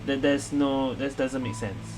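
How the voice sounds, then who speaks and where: A man's voice: mid-range voice, unhurried, poor audio. A man giving casual game playthrough commentary as a streamer, reacting and thinking aloud. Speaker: gaming streamer. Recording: loud game music behind.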